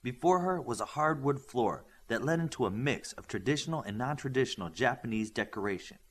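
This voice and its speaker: A man's voice: medium pitch, expressive, fairly quick, breathy edge. A man reading a story aloud as scripted audiobook narration. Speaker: audiobook reader. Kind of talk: scripted fiction narration with acted dialogue.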